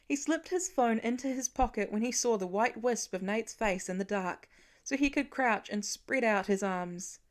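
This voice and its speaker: A woman's voice: fairly high pitch, moderate pace. Woman narrating a story expressively. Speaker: fan fiction audiobook reader.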